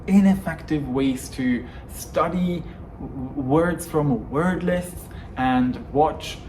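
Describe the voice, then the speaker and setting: A man whose first language is Hungarian delivers a scripted English lesson to camera. His pitch sits mid-range, and he leans hard on each word in his lists. A faint hum of background noise runs underneath. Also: darkish tone, low-quality recording. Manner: emphatic, stresses words in lists. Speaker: English coach. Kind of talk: scripted YouTube lesson monologue.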